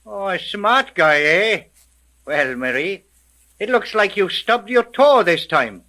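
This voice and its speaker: An actor playing a brash, sarcastic, suspicious police sergeant in a 1930s radio crime drama, barking and sneering in tough slang. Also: male voice, sits high, lively intonation, slow pace, bright, forceful tone, heavily acted.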